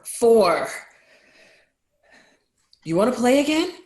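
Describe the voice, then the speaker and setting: A woman's voice: a little high, sing-song, a little mellow. A woman acting a tough doctor who pushes and challenges like a coach, in a table read. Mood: taunting. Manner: challenging, insistent, measured.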